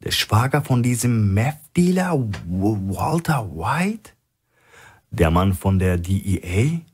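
A man voicing a bold, quick-witted woman on a covert mission in a thriller audiobook, with an urgent, persuasive manner. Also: fairly low pitch, sing-song, darkish tone, slightly rough, exaggerated voice. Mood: gushing, coaxing.

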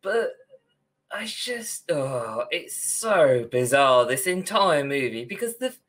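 A young British man with a bright, forward voice talks as a podcast co-host. He is informal and opinionated, rambling on in long, chatty stretches. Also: fairly high pitch, big pitch swings, slow. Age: young adult. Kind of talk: casual ranting discussion. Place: indoor room at home, on video call.

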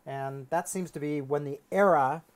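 A man's voice: a little high, moderate pace. Middle-aged man lecturing conversationally on science. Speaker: astronomy lecturer. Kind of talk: conversational science lecture in a two-person dialogue.

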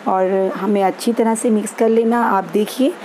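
A woman hosting a home cooking channel, calmly explaining each step of a recipe in an easygoing, instructive way. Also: medium pitch, moderate pace, a little hiss or hum. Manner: easygoing and instructive, repeats steps. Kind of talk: home cooking tutorial voice-over. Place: home kitchen.

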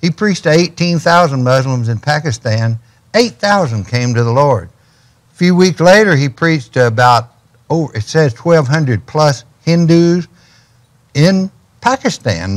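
A man's voice: a little high, animated, unhurried. An older man, a ministry guest on a TV studio set, telling stories in a folksy way and building to urgent questions. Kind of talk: storytelling in a studio interview.

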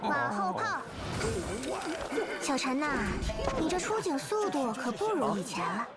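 Casting: youthful game android cop with an old soul, high lively voice, measured old-fashioned phrasing, loud music behind. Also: female voice. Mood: teasing calm. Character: youthful-looking female android police officer with an old soul, composed. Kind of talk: game trailer character acting.

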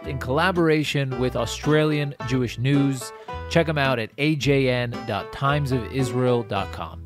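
A man's voice: mid-range voice, normal speed. A man in his thirties hosting a podcast, reading an upbeat, emphatic sponsor spot and introducing a guest. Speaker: podcast host. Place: home studio with bookshelves. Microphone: studio mic on boom arm, headphones. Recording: music under the voice.

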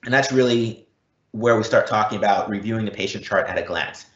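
A man's voice: lowish voice, brisk, a bit bright. Man presenting, muffled roomy sound. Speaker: product presenter. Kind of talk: explanatory software demo presentation. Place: indoor room, recorded live online event. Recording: muffled, roomy live-event recording.

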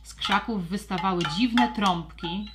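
A woman narrating a scripted children's audio story with expressive, storybook delivery. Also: mid-range voice, a little slow, loud music behind the voice.

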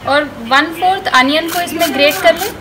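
A woman host giving calm step-by-step recipe instructions in a voice-over, mixing in English cooking words. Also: very high-pitched, moderate pace. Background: background music.

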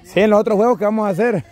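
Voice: mid-range voice, darkish tone. Someone with a Salvadoran accent giving casual, exclamatory, teasing play-by-play outdoors. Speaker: camera operator and commentator. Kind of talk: casual play-by-play commentary and chat. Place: outdoors in a wooded park with dirt ground.

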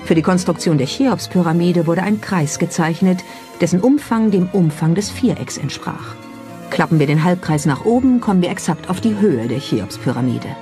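Female documentary narrator, lower register, music clearly underneath. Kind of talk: scripted narration. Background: music behind the voice.

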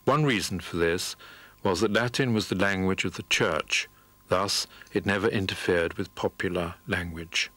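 A man narrates an educational documentary from a script. His voice sits a little low but rings bright and forceful, recorded dry and close in a studio. He keeps the delivery measured and formal. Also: no echo. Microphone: studio voice-over.

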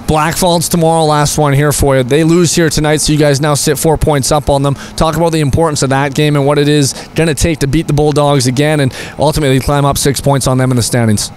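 A man's voice: on the high side, fairly even intonation, quick pace, few pauses, a little hiss or hum. Young man interviewing rinkside. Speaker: team broadcaster interviewer. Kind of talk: postgame interview questions. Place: indoor hockey arena rinkside. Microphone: handheld mic.

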